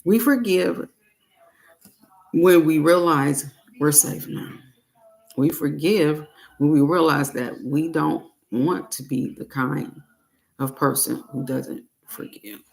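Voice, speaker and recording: A woman reading a guided meditation in a deliberate way, breaking her phrases with long pauses. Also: low voice, gravelly, a little mellow, rough recording. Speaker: meditation and self-help reader.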